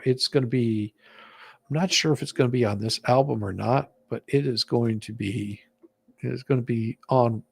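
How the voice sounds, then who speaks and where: Gravelly, husky man chatting on a livestream. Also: on the low side, fairly bright tone, no room sound. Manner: rambling, hesitant. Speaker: livestream host. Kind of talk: casual livestream chat.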